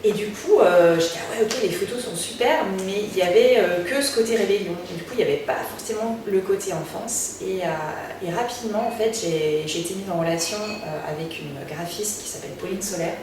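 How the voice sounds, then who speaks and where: A French woman, a singer, answering interview questions in a casual, halting way, full of fillers and restarts. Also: mid-range voice, a little mellow. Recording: very echoey room, distant mic, noticeable background noise.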